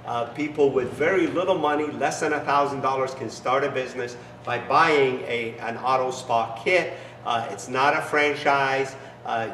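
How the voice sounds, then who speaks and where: A man's voice: fairly bright tone, a little hiss or hum. Middle-aged guy, english not his first language, pumped-up sales talk, echoey workshop sound. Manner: enthusiastic salesy pitch with broken phrasing. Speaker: business founder. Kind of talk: promotional demonstration. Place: large garage workshop. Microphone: camera mic from a few steps away. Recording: echoey garage, distant mic.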